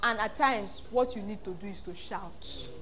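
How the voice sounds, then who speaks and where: A Nigerian woman pastor preaching an animated sermon, acting out voices and laughing often. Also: mid-range voice, normal speed, a little hiss or hum.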